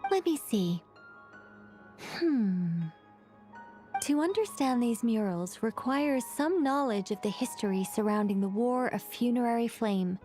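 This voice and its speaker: A woman playing a calm, scholarly keeper of ancient lore in a video game, explaining history. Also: a little high, a little slow, faint music behind. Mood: calm, explanatory. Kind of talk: video game voice acting, expository storytelling.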